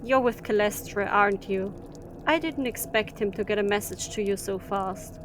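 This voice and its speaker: A woman's voice: medium pitch, moderate pace, close to an everyday voice. A woman playing a brusque, defiant spaceport captain in an audio drama. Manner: brusque, unimpressed. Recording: noisy mix with effects and ambience.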